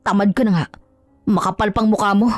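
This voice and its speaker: A woman's voice: mid-range voice, fairly even intonation, fairly quick, a little mellow, dry recording, natural voice. A woman voicing a cold, powerful male company boss who speaks in a cutting, commanding way. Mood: scornful. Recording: dry, faint music underneath.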